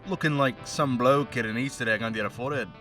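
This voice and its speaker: A man playing a mocking, menacing crime henchman with a put-on Scottish accent in an audio play monologue, chatty and sardonic with threatening pauses. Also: medium pitch, fairly bright tone, distant mic, faint music behind, lightly acted. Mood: mocking menace. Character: menacing, mocking male crime henchman taunting a bound captive.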